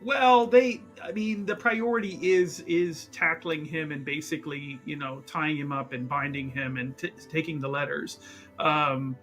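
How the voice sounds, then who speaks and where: Bright, higher-voiced man playing a tabletop game. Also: fairly even intonation, a little slow, faint music behind. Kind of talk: casual game chat.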